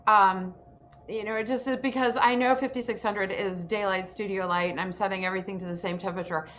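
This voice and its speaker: A woman's voice: mid-range voice, steady tone, a little fast, fairly bright tone. Woman, workshop host, informal instruction, enthusiastic, video call, faint background noise. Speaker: host and acting self-tape instructor. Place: indoor room on a video call.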